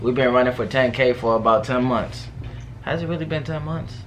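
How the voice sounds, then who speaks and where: A man's voice: mid-range voice, a little fast, a little hiss or hum. A young man hosting a reaction video in casual, slangy chat, repeating himself for emphasis. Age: young adult. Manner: slangy, informal, repetitive. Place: indoor room at home.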